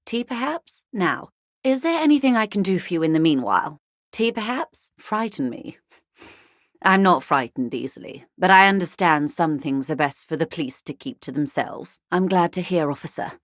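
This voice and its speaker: A woman's voice: on the low side, expressive, normal speed, dry recording, clean studio sound, lightly acted. A woman voicing a poised, wry, upper-class corporate negotiator in a video game, speaking in a polite, formal, faintly arch way. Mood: businesslike, courteous. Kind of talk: video game dialogue acting.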